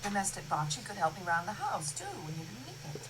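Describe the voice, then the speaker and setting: An actress playing a worried, long-suffering middle-aged farm aunt in a 1980s radio drama. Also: female voice, mid-range voice, very animated, brisk, crowd noise, lightly acted. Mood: worried, plaintive. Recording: 1981 radio drama with music behind.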